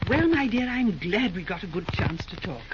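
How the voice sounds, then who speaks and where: A woman acting a brisk, motherly, middle-aged mother in an old-time radio drama, folksy and no-nonsense. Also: mid-range voice, sing-song, noisy background, lightly acted. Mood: advising. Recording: muffled old radio recording, crowd-like murmur.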